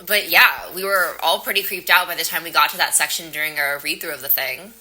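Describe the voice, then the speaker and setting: A woman's voice: low voice, a little fast, bright, forceful tone, exaggerated voice, rough recording. A woman playing a panicked, sarcastic young woman haunted by a curse in a horror audio play. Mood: sheepish, defensive. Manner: flustered, sarcastic, nervous laughter. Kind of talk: audio play acting, naturalistic horror-comedy dialogue.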